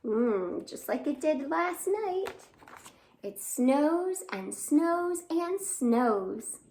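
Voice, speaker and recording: A woman's voice: high-pitched, big pitch swings, very slow, darkish tone, a little hiss or hum. A woman hosting a children's storytime, reading expressively with asides and questions to young listeners. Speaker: library storytime host.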